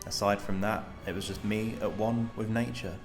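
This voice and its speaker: A man giving a reflective voice-over narration in a slow, wistful way. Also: on the low side, steady tone, loud music behind the voice. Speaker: vlogger narrating his climb.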